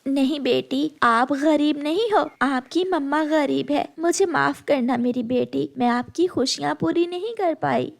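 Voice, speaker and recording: A woman voicing a tender, loving poor mother bird in a gentle, affectionate way for a cartoon. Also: high-pitched, measured pace, creaky, hardly any pauses. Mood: sorrowful, tender. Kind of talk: Hindi moral-story cartoon dialogue.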